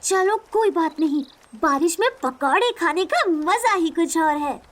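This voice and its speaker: Very high-pitched plucky mother bird. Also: lively intonation, a little slow. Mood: friendly. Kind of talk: Hindi cartoon voice acting.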